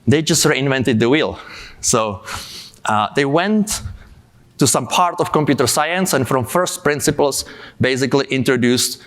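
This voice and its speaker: A man's voice: medium pitch, fairly bright tone. A man from the Czech Republic in his thirties, giving a conference tech talk in a casual way with many fillers, while he has a cold. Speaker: conference speaker.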